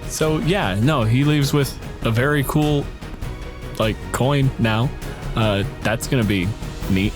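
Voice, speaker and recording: A man's voice: mid-range voice, takes it slowly, music in the background. Mid-voiced man running a tabletop game. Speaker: game master. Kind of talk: casual improvised game narration.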